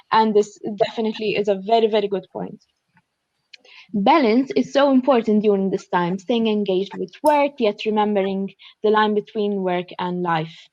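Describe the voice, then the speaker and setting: A woman's voice: a little high, darkish tone, dry recording, muffled recording. A young woman from Malta with a Maltese accent, speaking at an online student panel in an earnest way, with many fillers as she thinks aloud. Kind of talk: online panel talk, reading out notes. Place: shared flat, at home. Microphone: computer mic on a video call.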